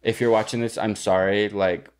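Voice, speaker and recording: A man's voice: lowish voice, steady tone, normal speed. A young man co-hosting a casual podcast, with a jokey, exaggerating, sweary way of talking. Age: young adult. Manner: jokey, profane, hyperbolic. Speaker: podcast co-host.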